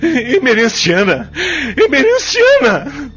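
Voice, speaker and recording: An actor playing a cruel, furious plantation master who shouts commands in an audio drama. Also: male voice, extremely high pitch, huge pitch swings, takes it slowly, exaggerated voice. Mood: anguished. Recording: dull, lo-fi recording with music.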